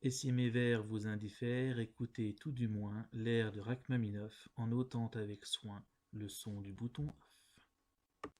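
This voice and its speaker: A man reciting poems in a measured, deliberate way, phrase by phrase. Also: lowish voice, fairly even intonation, a little mellow, slight background noise. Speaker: poetry reader. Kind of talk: poetry recitation.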